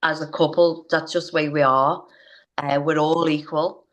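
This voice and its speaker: Woman, low voice, earnest, halting speech. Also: little pitch movement. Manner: earnest, hesitant, many restarts. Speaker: interview guest, parent. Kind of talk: personal story in interview.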